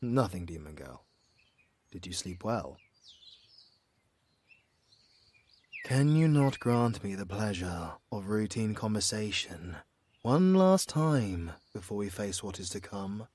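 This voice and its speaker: A man playing a stern, weary demon hunter mentor, measured and grave, in a fantasy audio roleplay. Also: lowish voice, animated, a little mellow, husky, no room sound. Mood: guarded, tender. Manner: measured, grave, slow-spoken.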